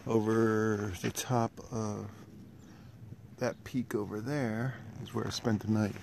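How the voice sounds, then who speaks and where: A man's voice: on the low side, fairly even intonation, takes it slowly. A middle-aged man casually narrating a hiking vlog outdoors into a handheld phone. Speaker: hiker vlogger. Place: outdoors on a wooded trail and dam. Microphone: handheld phone at arm's length. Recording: clear outdoor background noise.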